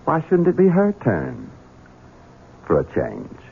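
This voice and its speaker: A man's voice: medium pitch, huge pitch swings, slow pace, vocal fry, heavily acted. A man playing a scheming, sardonic Southern heir in a radio drama, with a drawling Southern accent. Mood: sardonic, commanding. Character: scheming Southern heir, a sly bachelor son. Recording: muffled old radio broadcast, music behind.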